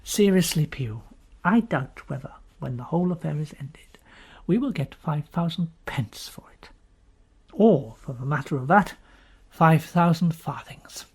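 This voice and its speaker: A man voicing a smug, wry gentleman who coolly needles a friend in an audiobook story, with dry, formal phrasing. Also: fairly high pitch, expressive, breathy edge, pitched below the performer's own voice, exaggerated voice. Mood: dry, doubtful. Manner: dry, formal, unhurried teasing. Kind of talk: dramatised audiobook character reading.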